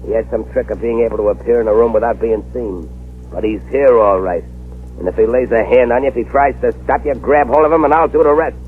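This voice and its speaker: An actor playing a ruthless arsonist gang boss in an old radio drama, barking threats and orders. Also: male voice, mid-range voice, steady tone, quick pace, phone-quality sound, natural voice. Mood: menacing. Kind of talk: 1940s radio acting. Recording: scratchy old radio broadcast, hiss.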